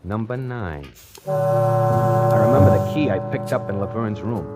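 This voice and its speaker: A man's voice: mid-range voice, sing-song, measured pace. An actor playing a brash, jumpy small-time hustler who narrates his own story in wry, colloquial 1940s radio suspense style. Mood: startled, tense. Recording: vintage radio broadcast with music.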